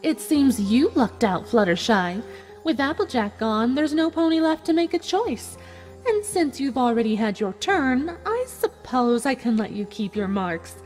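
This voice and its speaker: Woman doing a smug evil unicorn lady, high taunting voice, really animated, fanfic drama w/ music. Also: unhurried. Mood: mocking. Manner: taunting, mock-polite, snapping. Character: smug, cruel female unicorn villain. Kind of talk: dramatized fan fiction reading. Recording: long hall reverb, music behind.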